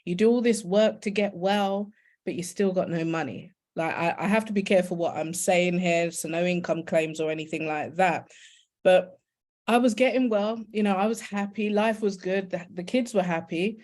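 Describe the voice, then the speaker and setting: Chatty British woman, slightly low voice. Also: moderate pace, darkish tone. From United Kingdom. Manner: chatty, often addressing listeners directly. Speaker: guest e-commerce educator. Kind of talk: informal personal storytelling to an online audience.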